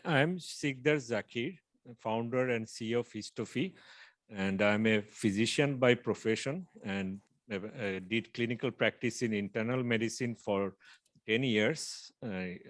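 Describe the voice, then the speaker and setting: Man pitching on a call. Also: no room sound. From Bangladesh. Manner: measured, explanatory, frequent filler pauses. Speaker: startup founder pitching. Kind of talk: online pitch presentation.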